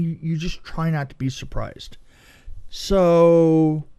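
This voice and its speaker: Higher-voiced man telling casual anecdotes. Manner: animated retelling with repeated phrases and acted-out quotes. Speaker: film effects veteran, podcast guest.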